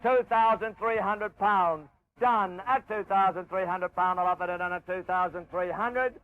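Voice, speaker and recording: A man working as an auctioneer, calling repeated bids in a drawn-out, projected way, on an old location tape. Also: high voice, narrow, tinny audio.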